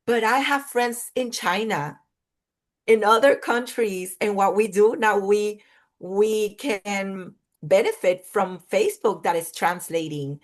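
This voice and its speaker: A woman whose first language is Spanish, a clinical psychologist being interviewed on a video call, speaking in careful, list-like phrasing. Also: mid-range voice. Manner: slow, thoughtful, list-like phrasing. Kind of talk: interview conversation.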